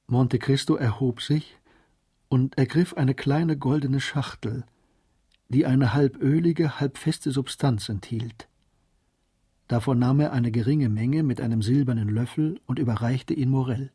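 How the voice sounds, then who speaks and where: Man, radio drama narrator, warm dark tone, husky, measured, composed, dry studio sound. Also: mid-range voice, dry recording.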